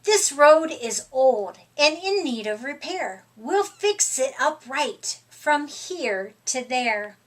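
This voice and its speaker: A middle-aged woman reading a picture book aloud to young children in an expressive, deliberate, emphatic way. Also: high-pitched. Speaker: storytime reader. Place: small furnished room indoors.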